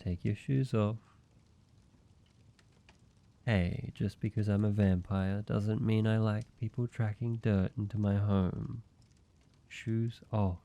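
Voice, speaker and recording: A man playing a slow, creaky-voiced teasing vampire. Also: fairly low pitch, steady tone, warm tone. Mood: playful teasing. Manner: playful mock-menacing, teasing, drawn-out delivery. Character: teasing, ancient male vampire, courteous and flirtatious. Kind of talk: scripted roleplay audio drama.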